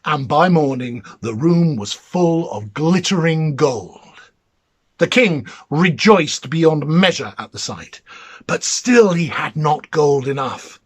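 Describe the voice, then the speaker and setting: Expressive, slow-paced male storyteller. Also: on the high side, slightly rough. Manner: theatrical, deliberate. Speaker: storyteller, reader. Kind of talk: dramatic fairy-tale narration.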